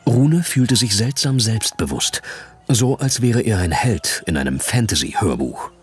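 A man with a deep voice narrating a fantasy audiobook dramatically from a script. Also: expressive, a little slow, husky, faint music behind.

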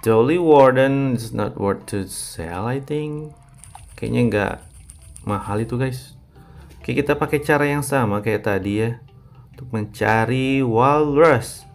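A man's voice: mid-range voice, unhurried. A man with an Indonesian accent gives casual gameplay commentary, chatting informally to his viewers. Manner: informal, addresses viewers as "guys". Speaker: gaming video creator. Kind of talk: casual gameplay commentary, mixing two languages. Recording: voice over background game music. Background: music.

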